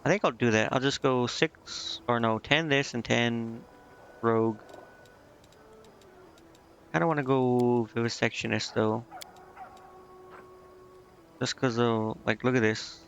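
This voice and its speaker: A man's voice: mid-range voice, fairly even intonation, slow pace, long pauses, fairly bright tone. A man giving casual gameplay commentary, thinking aloud and questioning himself as he goes. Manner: musing, questioning himself as he reads menus. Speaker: video game streamer. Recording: muffled lo-fi mic with clear background noise.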